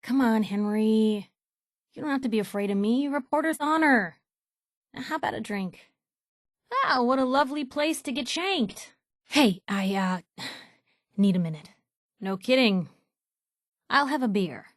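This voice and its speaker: A woman's voice: a little high, a little slow, long pauses, no echo, clean studio sound, natural voice. An actress playing a plucky, sassy young woman reporter in a video game, with a wry, teasing, conversational way of talking. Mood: wry, playful. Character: plucky young woman reporter, sassy, warm-hearted, in a post-apocalyptic wasteland. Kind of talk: video game voice acting, conversational dialogue lines.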